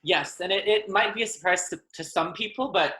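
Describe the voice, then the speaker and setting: A man in his thirties, a French speaker, talking as a guest in a casual video-call interview, hesitant and quick to laugh. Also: high voice, pushed and bright. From Ontario, Canada. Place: home room. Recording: echoey video-call audio.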